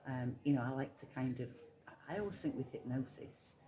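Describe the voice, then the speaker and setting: A woman's voice: very deep voice, fairly quick, a crowd in the background, poor audio. A middle-aged woman from Yorkshire with a Yorkshire accent, a hypnotherapist talking casually as an interview guest, reflective and full of ums. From Yorkshire, England. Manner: chatty, reflective, many ums and soft laughs.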